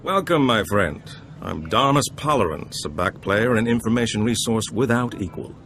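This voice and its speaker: A suave, aging gambler and information broker in a video game. His low voice is smooth and self-satisfied, with theatrical flourishes. There is clear ambient noise behind him. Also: lively intonation, moderate pace, a bit bright. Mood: smug charm. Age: older. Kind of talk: video game dialogue acting.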